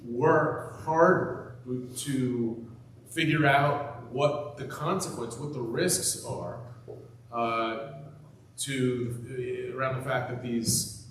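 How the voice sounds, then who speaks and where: A man speaking on a panel, with a loud voice, a casual manner and self-deprecating asides. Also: medium pitch, a little hiss or hum. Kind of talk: conversational panel talk. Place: event hall at a hospital. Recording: echoey room, far from mic.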